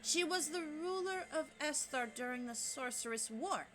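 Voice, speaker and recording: A woman voicing a calm, gentle adult sorceress in a video game, speaking softly and in a measured way. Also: sits high, slight background noise. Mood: solemn, gentle.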